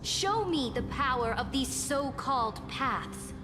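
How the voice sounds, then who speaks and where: An actress playing an imperious, challenging woman of high standing in a game, with theatrical delivery. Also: animated, slow pace. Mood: commanding. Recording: long reverb with music behind.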